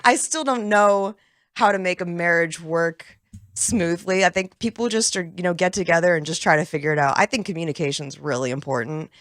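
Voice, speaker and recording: A woman's voice: lowish voice, a little fast, fairly bright tone, no room sound, studio quality. A woman comedian guest on a radio show, snarky and sarcastic, laughing often as she chats. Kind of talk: casual radio chat. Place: radio studio.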